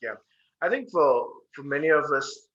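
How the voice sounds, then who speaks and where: Bright-voiced Sri man on a webcam. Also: on the high side, expressive, normal speed, slightly rough. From Sri Lanka. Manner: earnest, fluent, with frequent "uh" fillers. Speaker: guest, peace organization founder. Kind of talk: video-call interview. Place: home room with bookshelves. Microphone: video call webcam. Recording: dull lo-fi webcam audio.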